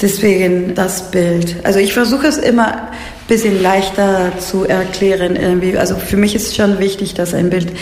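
A woman's voice: medium pitch, fairly even intonation, normal speed, a little mellow, a little hiss or hum. A woman from Peru with a Peruvian Spanish accent, explaining her art informally in an interview, searching for words in non-native phrasing. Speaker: painter being interviewed.

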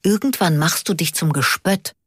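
A woman's voice: lowish voice, normal speed, a bit bright, slightly rough, no room sound, studio quality. A woman voicing a sensible, cautious older man who reasons plainly and reluctantly, in an audiobook. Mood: reproving. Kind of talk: audiobook character dialogue.

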